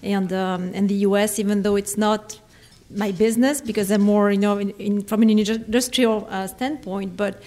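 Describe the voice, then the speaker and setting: A woman with a French accent addresses a conference panel in easy, conversational English. She drops in "um" and "you know" as she goes, keeping a friendly tone at a middle pitch. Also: fairly even intonation, normal speed, a little mellow. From France. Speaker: company vice president, panelist.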